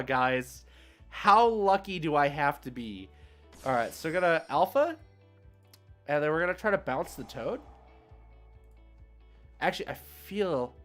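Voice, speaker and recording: A man's voice: fairly high pitch, fairly bright tone. A man streaming an online card game, thinking aloud in casual, chatty play-by-play with slangy asides. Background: music playing behind the voice.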